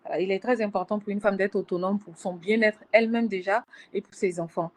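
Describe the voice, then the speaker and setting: Measured woman speaking on a webinar call. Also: medium pitch, fairly even intonation, a little mellow. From Africa. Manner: measured, reflective, with hesitations. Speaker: panelist. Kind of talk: webinar discussion. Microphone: video call.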